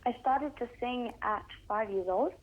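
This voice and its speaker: A woman's voice: on the high side, a little slow, narrow, tinny audio. A young girl, a Russian speaker at home, giving shy, hesitant short answers in a radio interview. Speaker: young singer guest.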